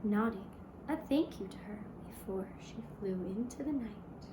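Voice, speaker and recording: A woman narrating a fairy tale for a puppet show in a gentle, hushed, deliberate storytelling manner. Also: mid-range voice, echoey room, noisy background. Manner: slow, hushed, deliberate storytelling in short phrases.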